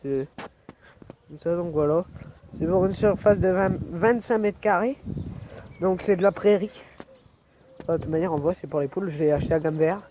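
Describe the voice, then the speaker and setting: A man's voice: fairly high pitch, warm tone, a little hiss or hum. A young man with a cold, rambling with lots of fillers as he films his yard outdoors on a phone. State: has a cold. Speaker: vlogger. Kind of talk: casual outdoor vlog. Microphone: phone camera.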